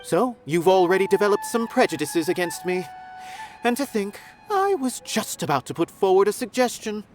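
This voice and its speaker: A pompous male art connoisseur in a video game. His speech is florid and theatrical, rising and falling widely. Game music plays clearly behind him. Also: high voice, big pitch swings, moderate pace. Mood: wry. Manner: grandiloquent, florid and dramatic.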